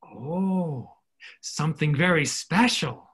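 An eager, modest captain back from the war, played by a man for a stage comedy. His speech is very slow and swings widely in pitch, earnest and full of exclamation. There is faint noise on the home recording. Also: expressive, a bit bright. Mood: puzzled, eager. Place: indoors at home, video call. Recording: noisy home video-call audio.

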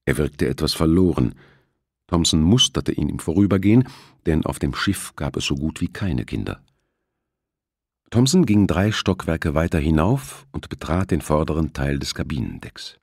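A man's voice: rumbling low, lively intonation, moderate pace, vocal fry, a little mellow, breathy edge, no echo, studio quality. A man reading a novel aloud as a scripted audiobook narration.

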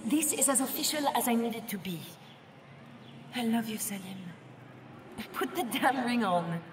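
An actress voicing a young woman explorer in a video game, tender and stubborn, with a breathy, emotional delivery. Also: on the high side, animated, moderate pace, natural voice. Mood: playful, affectionate. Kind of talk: dramatic video game voice acting. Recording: echoey, with loud background noise or music.

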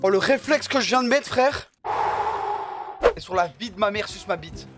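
A young man bantering crudely and excitedly on a gaming stream, loud and often shrieking, into a close desk microphone. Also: high voice, normal speed, loud music behind the voice. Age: young adult. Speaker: video game streamer. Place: indoor streaming setup at home.

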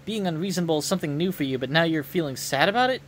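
A man's voice: a little high, lively intonation, racing, slight background noise, close to an everyday voice. Man putting on a bossy, dramatic young queen. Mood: flustered. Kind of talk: video game dialogue read aloud.

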